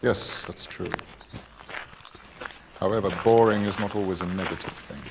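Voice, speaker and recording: A man acting a protective, reserved middle-aged wizard in a fan audio drama, speaking in a formal, measured, dry way. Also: low-pitched, steady tone, background noise. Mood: dry, calm.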